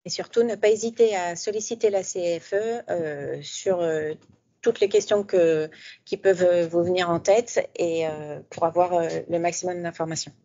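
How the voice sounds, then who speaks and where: A woman's voice: on the low side, fairly even intonation, normal speed, dark tone. A woman expert answering interview questions, explaining details with frequent hesitations. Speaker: insurance fund expert. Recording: dull lo-fi sound.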